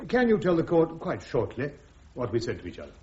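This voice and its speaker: An actor playing a defiant British army major defending himself in a radio courtroom drama, with a clipped, sardonic, insistent manner. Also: male voice, medium pitch, huge pitch swings, a little fast, darkish tone. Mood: pressing, urgent. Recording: dull radio drama recording.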